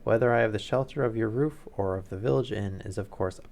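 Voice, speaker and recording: A man voicing a calm, polite male detective who speaks in a bland, measured way. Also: on the low side, gravelly, a little hiss or hum. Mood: bland, probing.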